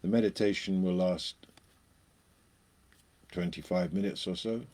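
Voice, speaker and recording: A man leading a guided meditation, speaking in short phrases with long pauses between them. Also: low-pitched, darkish tone.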